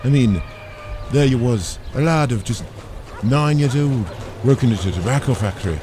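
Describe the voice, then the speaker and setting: A man's voice: medium pitch, lively intonation, normal speed, dark tone, slight background noise, natural voice. Man playing a folksy, fatherly old priest. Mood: fond, proud. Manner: folksy, avuncular, hesitating. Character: kindly older Irish parish priest, proud, fatherly. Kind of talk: audio drama acting.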